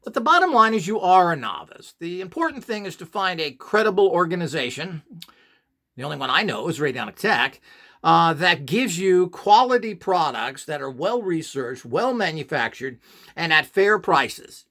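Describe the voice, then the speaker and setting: A mature man with a somewhat high-set voice talks off the cuff as a video presenter. He is blunt and emphatic, with a scornful edge as he lays out his opinions. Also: big pitch swings, normal speed, a bit bright. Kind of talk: unscripted opinionated monologue.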